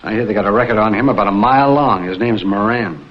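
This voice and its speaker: An actor playing a chatty, unhurried American morgue attendant with a folksy, rambling way of talking in a vintage detective drama. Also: male voice, lowish voice, natural voice. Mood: casual, morbid. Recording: muffled old film soundtrack, faint noise.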